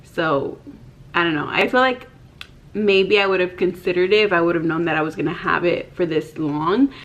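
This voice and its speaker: A woman's voice: fairly low pitch, normal speed, fairly bright tone. A woman of about thirty talking casually to the camera in her bedroom. She is candid and chatty and fills her talk with "like". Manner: chatty, candid, many "like" fillers. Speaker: vlogger. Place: bedroom, indoors. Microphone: camera in front, head and shoulders.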